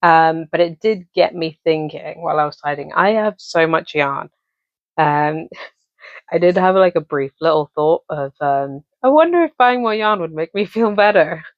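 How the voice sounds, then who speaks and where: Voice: medium pitch, lively intonation, moderate pace, dry recording. Rambling English vlogger, dull dry sound. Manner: rambling, chatty, many ums and self-corrections. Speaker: crochet vlogger. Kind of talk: casual chat to camera. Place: home office.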